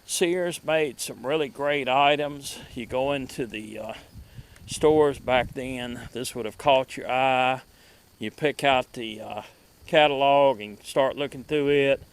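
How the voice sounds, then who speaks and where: Middle-aged man, halting folksy outdoor vlogger. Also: medium pitch, clean tone. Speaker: video host showing vintage gadgets. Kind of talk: casual product showcase vlog. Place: outdoors at a table in a wooded yard.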